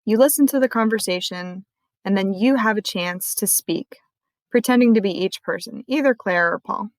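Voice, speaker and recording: A woman librarian walking viewers through a screen-recorded tutorial in a clear, friendly explaining manner. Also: medium pitch, moderate pace, dry recording.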